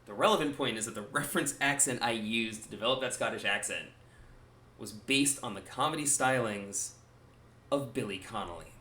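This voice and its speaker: A man's voice: mid-range voice, moderate pace, pushed and bright, a little hiss or hum. A man, a gaming channel host, talking casually to his viewers in a rambling, wry way with self-deprecating asides. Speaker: gaming YouTuber.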